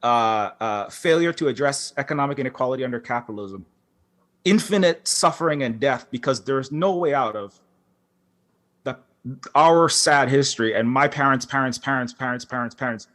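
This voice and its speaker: A man speaking on a conference panel as a game developer, impassioned and halting, with many restarts and fillers. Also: medium pitch, a bit bright. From Hawaii. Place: large concert hall.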